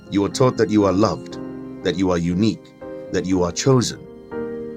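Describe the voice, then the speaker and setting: An actor playing a haughty, learned skeptic in an audio drama, formal, condescending and given to lecturing. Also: male voice, low voice. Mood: scornful. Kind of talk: dramatic audio fiction acting.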